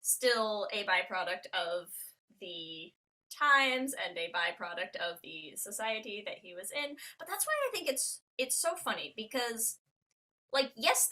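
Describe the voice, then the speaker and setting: A woman hosting a podcast in casual chat, animated and joking, peppering her talk with "like". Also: medium pitch, a little slow. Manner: animated, joking, frequent use of "like". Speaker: podcast host.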